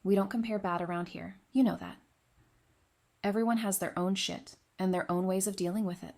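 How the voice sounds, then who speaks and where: A woman's voice: mid-range voice, steady tone, fairly quick, a little mellow, natural voice. A woman playing a frank, loyal and caring friend in an audio drama. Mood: reassuring.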